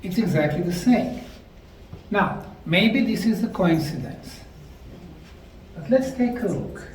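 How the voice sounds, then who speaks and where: A man with a Bosnian accent giving a deliberate, emphatic lecture to a live audience. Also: sits high, lively intonation. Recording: echoey room, mic far off, faint noise.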